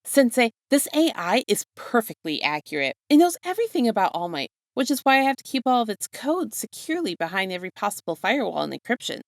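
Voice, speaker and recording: Woman voicing an excitable, eager teenage fanboy student. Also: on the high side, quick pace, few pauses, dry recording, clean studio sound, pitched above the performer's own voice. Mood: excited. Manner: earnest, gushing, rattles on.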